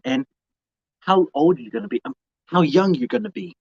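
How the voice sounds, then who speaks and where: A man's voice: fairly high pitch, lively intonation, brisk, creaky, breathy edge, no echo, highs cut off. A man in his mid-twenties chatting casually to viewers on a live stream outdoors, rambling and often repeating or restarting his phrases.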